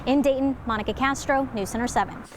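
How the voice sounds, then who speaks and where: A woman's voice: sits high, fast, noisy background. A woman with an American accent narrating a scripted TV news report.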